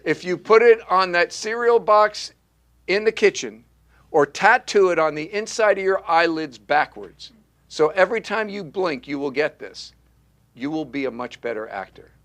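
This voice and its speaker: Man, acting coach, slightly high voice, punchy repetitive delivery, live class in a studio. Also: lively intonation, normal speed, fairly bright tone. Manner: emphatic, repetitive, punchy call-and-response teaching.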